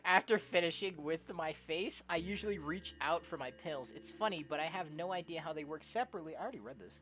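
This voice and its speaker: Female game streamer, lowish bright voice, lively narration with casual asides, light music behind. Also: fast talker. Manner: expressive, often giggly, slangy. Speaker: streamer playing a visual novel. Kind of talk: let's-play, reading game text aloud with casual reactions.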